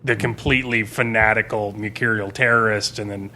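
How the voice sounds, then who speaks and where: A man's voice: fairly low pitch, steady tone, fairly quick, bright, forceful tone. A man talking casually on a podcast as a game master, rambling through stories and swearing freely.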